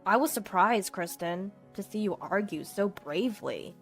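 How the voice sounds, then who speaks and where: A woman's voice: medium pitch, expressive, normal speed, faint music behind, natural voice. A woman voicing a supportive, admiring husband in a dramatized story. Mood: admiring, gentle. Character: supportive, admiring husband, gentle adult man.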